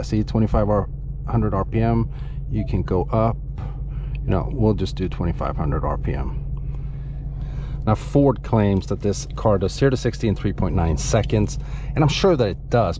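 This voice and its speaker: A man from Sweden giving enthusiastic, chatty commentary while driving a sports car, with loose filler words. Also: fairly low pitch. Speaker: car vlogger. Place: inside a moving car. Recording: loud engine and car noise. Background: engine and exhaust noise.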